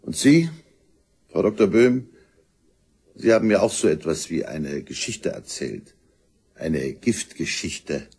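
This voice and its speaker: An actor playing a matter-of-fact, probing police detective in a German crime radio play, with a husky voice. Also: male voice, low voice, very animated, slow, long pauses, darkish tone, full character voice. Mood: probing, grave.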